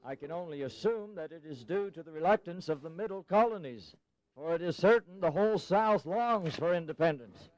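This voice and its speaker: Insistent colonial politician played by a male actor, high voice, formal and pressing, for historical audio drama. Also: lively intonation, a little fast, a little mellow, highs cut off, natural voice. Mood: eager, insistent. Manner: emphatic, formal, persuasive. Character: eager, insistent colonial congressman pressing for independence.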